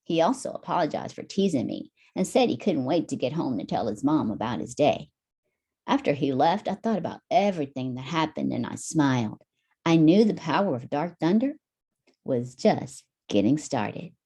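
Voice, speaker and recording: A woman teacher reading a picture book aloud to children in an expressive, deliberate storytelling manner. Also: low-pitched, husky.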